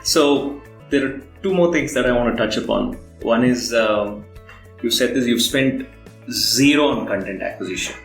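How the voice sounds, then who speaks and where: Indian male podcast interviewer, medium pitch, conversational questioning, roomy recording over faint music. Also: moderate pace. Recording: roomy echo, faint guitar music underneath.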